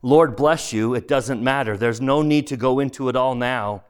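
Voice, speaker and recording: A man voicing a serene, gently amused heavenly spirit in a storybook, speaking with calm candour. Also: medium pitch, normal speed, clear, resonant tone, natural voice. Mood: amused, gentle. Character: serene, mirthful heavenly spirit of a forgiven male murderer. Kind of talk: dramatised audiobook character voice.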